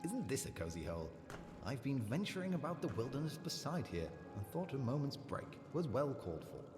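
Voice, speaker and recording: A man's voice: mid-range voice, very animated, brisk, natural voice. A man voicing a genteel, mild-mannered bug explorer in a video game, with polite, leisurely, old-fashioned phrasing. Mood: genteel, musing. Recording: echoey reverb with music behind.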